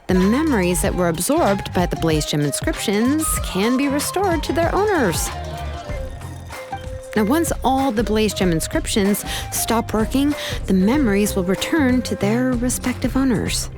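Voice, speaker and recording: A woman's voice: fairly high pitch, big pitch swings, unhurried, over music. A woman voicing a laid-back, capable young craftswoman in a video game, casual and a little put-upon. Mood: relieved, thoughtful. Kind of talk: scripted game story dialogue.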